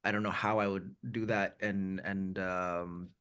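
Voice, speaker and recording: A man in his thirties, from India, speaks with an American accent in a fairly low voice. He tells his story frankly and reflectively over a video call, often repeating words as he restarts his sentences. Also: little pitch movement, unhurried, a bit bright, clean tone. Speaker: guest. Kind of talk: candid conversational storytelling.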